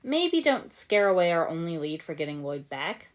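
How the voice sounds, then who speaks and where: A woman's voice: lowish voice, big pitch swings, moderate pace. A woman voicing an easygoing, practical teenage male ninja in an audiobook story. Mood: teasing, firm. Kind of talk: fan fiction audiobook character voice.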